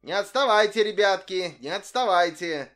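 A man voicing a small, boastful old tramp who shouts and hurries everyone along. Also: high-pitched, expressive, normal speed, voice pushed up. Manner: shouting and bragging, hurrying others on.

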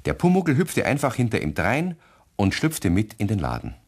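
Low-voiced guy narrating a kids radio play, really lively intonation. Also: normal speed, slight background noise. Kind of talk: scripted children's story narration.

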